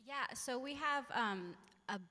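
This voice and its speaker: A woman's voice: high-pitched, lively intonation, measured pace, sharp tone. A woman from California with an American accent, explaining conversationally as a missionary guest. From California, United States. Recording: reverberant large hall.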